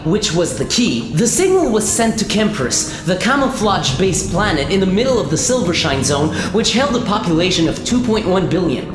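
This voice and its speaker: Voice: mid-range voice, lightly acted. An actor playing a level-headed narrator and crew leader in a scripted sci-fi radio play. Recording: echoey reverberant sound with music behind.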